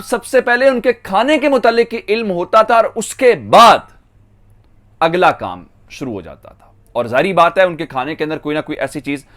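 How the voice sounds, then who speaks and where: A man's voice: high voice, a bit bright. A man with a Pakistani accent delivering a political news monologue as an online channel host, stressing and drawing out key phrases.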